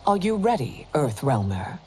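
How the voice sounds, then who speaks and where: A woman's voice: low-pitched, sing-song, moderate pace, dark tone, a little hiss or hum. A woman playing a stern, regal middle-aged empress in a video game, speaking formally and imperiously. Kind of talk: video game cutscene acting. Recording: music behind the voice.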